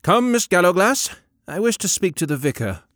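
A man reading an audiobook, voicing a calm, refined gentleman who speaks gently and in measured phrases. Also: sits high, no room sound, studio quality, pitched above the performer's own voice.

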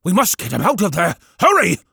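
A man voicing an anxious vicar, urgent, lively pitch, dry storybook read. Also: fairly quick, gravelly, husky, no room sound, full character voice. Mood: alarmed. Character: flustered middle-aged male vicar.

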